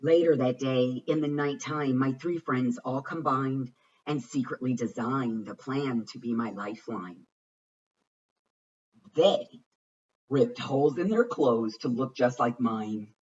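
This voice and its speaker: A man giving an expressive read-aloud of a rhyming children's picture book, with deliberate, dramatic phrasing. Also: medium pitch, slightly rough. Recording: muffled, distant microphone.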